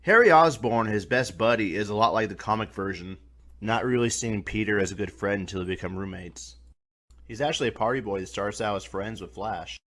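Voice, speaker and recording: A man's voice: lowish voice, a little fast, fairly bright tone. A man hosting a scripted video review, talking in a colloquial, mildly profane way. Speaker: reviewer, host.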